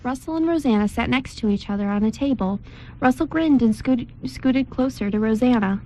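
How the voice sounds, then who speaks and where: A woman narrating a scripted amateur radio play with careful, deliberate reading. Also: fairly high pitch, dark tone, slight background noise, rough recording.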